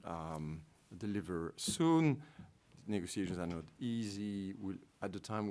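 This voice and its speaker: Deep-voiced Belgian man with French accent. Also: a little mellow. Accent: French-speaking Belgian. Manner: halting, many ums and restarts, wry. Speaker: trade negotiator on a panel. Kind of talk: panel remarks on trade policy.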